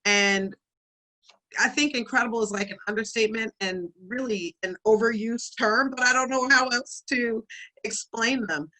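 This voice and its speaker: Woman hosting an online event on a video call, super bright tone, chatty and excited. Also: on the high side, moderate pace, poor audio. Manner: enthusiastic, chatty, laughs easily. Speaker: event host.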